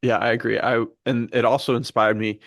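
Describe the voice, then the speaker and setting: A man's voice: mid-range voice, level tone, fairly quick, creaky, fairly bright tone, dry recording, studio quality. A man co-hosting a podcast in casual chat, speaking in an earnest, informal, enthusiastic way. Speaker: podcast co-host and fiction writer.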